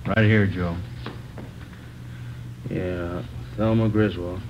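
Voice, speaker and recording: Subdued morgue attendant, male, very slow, quiet, 1950s radio drama, noisy old recording. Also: low voice, fairly even intonation, dull audio.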